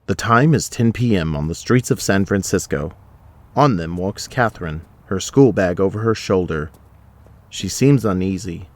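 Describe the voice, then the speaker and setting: A man's voice: low voice, lively intonation, normal speed, darkish tone. A man narrating a scripted audio drama, setting each scene in the present tense.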